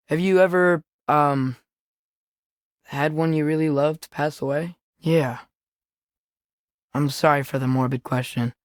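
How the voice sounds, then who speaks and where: A man's voice: on the high side, no room sound, studio quality, natural voice. A young male actor playing an earnest, curious, impulsive teenage boy in a Norse myth video game, speaking naturally with a hesitant, boyish manner. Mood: gentle, awkward.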